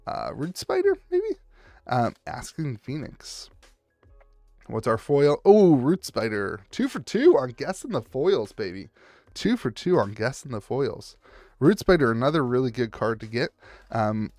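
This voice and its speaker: A hoarse young man who is losing his voice, hosting a casual, chatty card pack opening and talking straight to the viewer. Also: medium pitch, big pitch swings, unhurried, darkish tone, dry recording, faint music behind. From United States. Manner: chatty, calls out foils and talks to the viewer. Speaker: channel host opening card packs.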